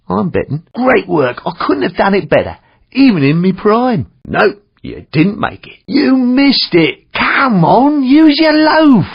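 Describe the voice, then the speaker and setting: A gravelly old Cockney cab driver, wildly swooping in pitch as he coaches with cheeky slang. He is blunt and theatrical, a game instructor on slightly dull audio. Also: full character voice. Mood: pleased, then scornful, disappointed. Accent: London Cockney. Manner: colloquial slang, wry, theatrical. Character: chatty old London cabbie driving instructor, cheeky and blunt. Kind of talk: scripted game instruction and feedback.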